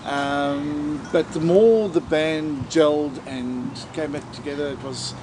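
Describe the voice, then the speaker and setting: A South African man, a drummer, chatting in a relaxed interview, halting with fillers and joking. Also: on the high side, background noise. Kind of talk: casual interview chat.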